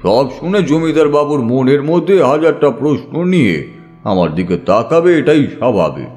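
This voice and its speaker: A man narrates horror fiction for an audiobook with suspense and theatre. His mid-pitched voice rises and falls dramatically, with faint music playing behind it. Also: animated, normal speed, darkish tone.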